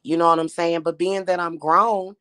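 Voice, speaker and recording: A woman in her thirties, a spiritual YouTuber talking casually to her viewers, her voice slightly hoarse and her talk aggrieved and repetitive. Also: on the low side, little pitch movement, normal speed, fairly bright tone, no room sound, clean studio sound. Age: 30s. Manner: aggrieved and repetitive, with frequent fillers.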